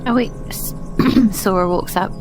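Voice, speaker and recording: A woman's voice: fairly low pitch, normal speed, music in the background. A woman playing a tabletop roleplaying game, speaking and describing for her character. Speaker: player.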